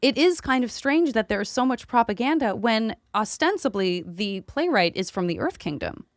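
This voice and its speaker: Animated high-voiced woman podcast host. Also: normal speed, no echo, studio quality. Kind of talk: casual panel discussion.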